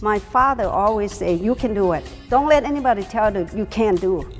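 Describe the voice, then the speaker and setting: A woman's voice: mid-range voice, a little fast, long reverb tail, faint music behind. Earnest older woman. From Thailand. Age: 60s. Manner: earnest, emphatic, non-native grammar. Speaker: ballroom dancer, interviewee. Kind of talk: interview answers.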